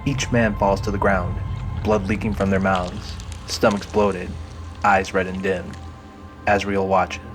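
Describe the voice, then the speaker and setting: A man narrates a scripted audio drama in a fairly low voice. Music plays clearly behind his storytelling. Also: level tone, measured pace.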